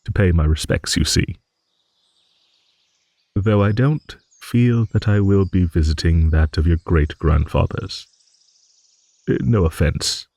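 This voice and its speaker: A man's voice: low voice, slow, creaky, pauses often, a little mellow, dry recording, full character voice. A man voicing an ancient, good-natured old dragon who rambles and muses in a fantasy audio roleplay. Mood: drowsy, courteous. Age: older. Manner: rambling, musing, with hums and chuckles.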